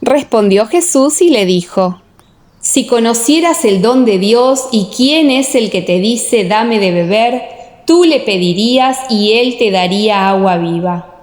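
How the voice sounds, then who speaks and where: A woman narrating a scripture audiobook in a measured, solemn, scripted reading style. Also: on the high side, animated, a little mellow.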